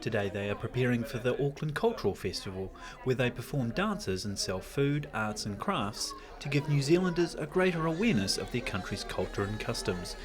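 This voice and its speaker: A man's voice: mid-range voice, expressive, fairly quick, few pauses. A man reading scripted documentary narration. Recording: music playing behind the voice. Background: music.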